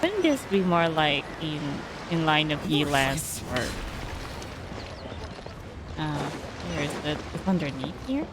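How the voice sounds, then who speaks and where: A woman streaming a game with casual commentary. Her voice is on the low side and very slow, musing and halting. There is clear noise in the background. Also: very animated.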